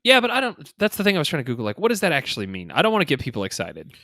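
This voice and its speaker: A man hosting a casual gaming podcast, thinking out loud in an informal, slangy, speculative way. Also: fairly high pitch, huge pitch swings, rapid-fire, no room sound, studio quality. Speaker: podcast host. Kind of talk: casual two-person podcast discussion of a mobile game.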